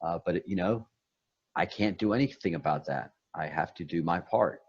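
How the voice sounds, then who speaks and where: Man, low voice, rambling spiritual chat over a muffled call line, restarts and repeats. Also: normal speed, darkish tone, husky. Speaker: guest on a meditation talk.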